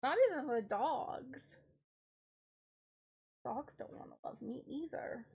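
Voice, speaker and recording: A woman's voice: high voice, slow pace, a little mellow, breathy edge. A young woman streamer with a stuffed-up, congested voice, chatting casually and laughing at herself. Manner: chatty, self-deprecating, giggly asides. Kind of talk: casual chat.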